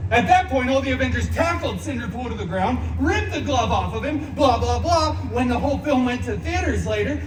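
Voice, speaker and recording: An actor playing a flamboyant, wisecracking masked antihero who tells a parody fairy tale in a theatrical, sarcastic way at a live show. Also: male voice, high-pitched, distant mic, noisy background. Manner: theatrical, sarcastic, mock-dramatic, with comic asides. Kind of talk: live theme park comedy storytelling show. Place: outdoor theme park stage, heard from the audience. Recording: echoey amplified park show over music. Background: background show music.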